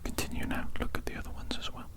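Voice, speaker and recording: A woman voicing an evil doctor in an ASMR roleplay, whispering breathily and deliberately, repeating phrases softly as she examines a patient. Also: close to an everyday voice. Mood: soft, clinical, praising.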